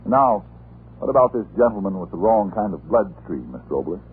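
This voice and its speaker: A male announcer from 1940s radio with a low, very husky voice. He sells with animated, swinging intonation, through a thin vintage broadcast sound. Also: a little fast. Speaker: radio announcer and sponsor pitchman. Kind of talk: 1940s radio advertising patter. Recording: tinny old radio recording.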